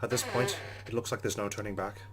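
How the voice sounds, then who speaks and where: Male let's play host, low voice, rapid pace, reading story narration with commentary. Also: background noise.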